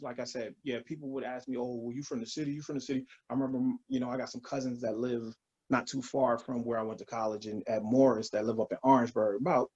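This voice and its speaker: A man from Albany, New York, a veteran guest telling relaxed stories in casual interview talk. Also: mid-range voice, slightly rough. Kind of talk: casual storytelling. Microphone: phone on speaker. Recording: muffled speakerphone call.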